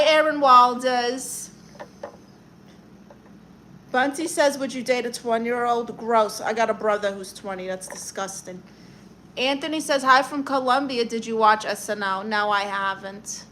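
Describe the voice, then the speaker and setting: Young woman, Brooklyn accent, livestream host, sassy, brassy, bright tone, slightly high, faint background noise. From Brooklyn, New York. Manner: brassy, sassy, quick comebacks. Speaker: livestream host giving advice. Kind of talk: casual livestream chat reading comments.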